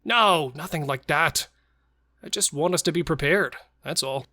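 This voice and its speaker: A man's voice: on the high side, a little slow, bright, forceful tone. A man playing a cautious but joking killer and robber who steadies his partner, in horror audio drama dialogue. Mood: calm. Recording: music and effects under the voice.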